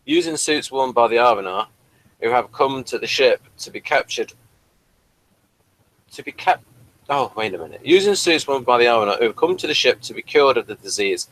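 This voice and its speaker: Guy cohosting a casual review show, medium pitch, kinda stumbles and fixes himself as he goes. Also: fairly bright tone, rough recording. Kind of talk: casual review chat, reading out an episode synopsis.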